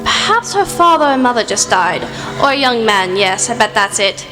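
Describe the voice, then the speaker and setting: Radio play: woman as genteel English lady storyteller, sentimental, very animated, hall reverb with music behind. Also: fairly high pitch, moderate pace. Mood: kindly, curious.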